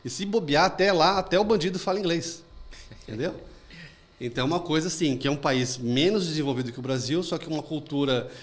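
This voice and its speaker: Fast-talking man hosting. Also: fairly high pitch. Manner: fast, chatty storytelling with anecdotes. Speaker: podcast host. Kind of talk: casual interview chat.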